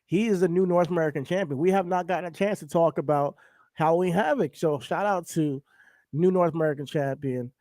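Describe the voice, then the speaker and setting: A man from New with a cold, his voice a little raspy, laid back and laughing often as he co-hosts a casual wrestling podcast. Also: on the high side, a little fast, a little mellow, dry recording, clean studio sound. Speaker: podcast co-host.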